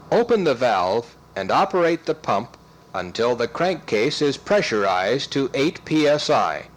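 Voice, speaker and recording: A man reads scripted instructional narration in a methodical, step-by-step way, enunciating clearly. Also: medium pitch. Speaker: training film narrator.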